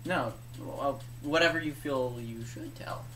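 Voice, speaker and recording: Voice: medium pitch, measured pace, fairly bright tone, a little hiss or hum. A co-host chatting casually, prompting a storyteller and teasing in a wry way. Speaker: co-host and listener. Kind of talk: casual banter.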